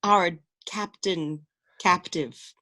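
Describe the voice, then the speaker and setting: A woman's voice: lowish voice, drawn-out words, bright, forceful tone, full character voice. Woman playing theatrical, bitter, mournful castaway. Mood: hushed grief. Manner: theatrical, declamatory. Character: bitter, mournful castaway with archaic, florid speech. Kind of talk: absurdist radio play acting. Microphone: video call.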